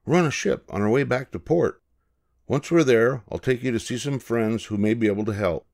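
A man's voice: mid-range voice, brisk, a little mellow, voice pushed up, close to an everyday voice. A man voicing a friendly, helpful male ship's cat in a storybook, speaking politely and reassuringly. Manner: reassuring, politely well-spoken.

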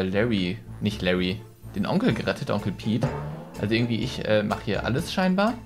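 A young man giving chatty, casual let's play commentary on a headset mic, thinking aloud as he goes. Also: mid-range voice. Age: young adult. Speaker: gaming YouTuber, host. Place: small decorated gaming room, indoors. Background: game music.

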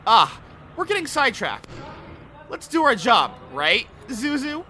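A man's voice: very high voice, wildly swooping pitch, measured pace, heavy background noise, full character voice. A man playing a playful, teasing, affectionate young inspector in an anime-style fan audio drama, with theatrical delivery. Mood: playful, clingy.

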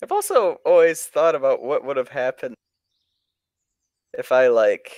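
A man's voice: mid-range voice, very animated, unhurried, darkish tone, no room sound, clean studio sound. Crude, profane young man chatting. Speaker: streamer telling stories. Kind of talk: casual chat.

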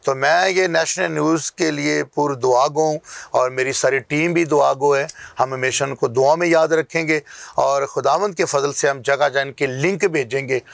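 A man's voice: on the high side, normal speed, gravelly, a bit bright, dry recording. A middle-aged man with a Pakistani accent, a pastor speaking emphatically and repeating phrases as in a sermon, recorded on a lapel mic in a studio. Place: small TV studio.

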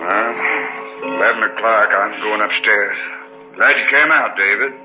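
A man's voice: lowish voice, normal speed, music in the background, natural voice. An actor playing a weary, worried older father in an old-time radio drama. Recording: dull, faintly noisy old radio recording.

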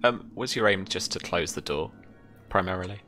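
A man's voice: deep voice, lively intonation, normal speed, pushed and bright, faint music behind. A young man working as game master, casually giving rulings and narrating the scene in an easygoing, informal way. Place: indoor room at home, video call.

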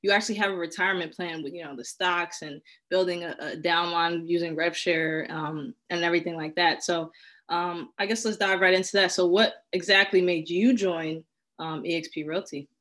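Female interviewer, young adult, slightly low voice, informal chatty questioning on a video call. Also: steady tone, moderate pace, fairly bright tone. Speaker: interviewer, host. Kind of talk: casual interview chat. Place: indoor room at home, on a video call.

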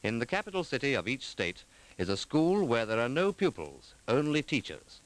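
A man reading scripted narration for a 1940s documentary film in a measured, formal, articulate manner. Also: mid-range voice, big pitch swings, rough recording. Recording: 1940s film soundtrack with music.

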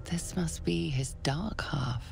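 A grave female narrator for a fantasy game. She speaks very slowly in a low, heavily creaking voice, observant and scripted, over clear music. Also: exaggerated voice. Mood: hushed foreboding.

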